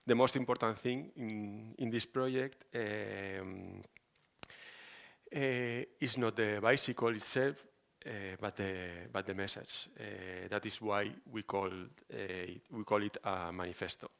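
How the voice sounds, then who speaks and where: A man with a strong Spanish accent presenting a project at a conference as an architect, halting and hesitant with frequent pauses. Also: lowish voice, steady tone, gravelly, fairly bright tone. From Spain.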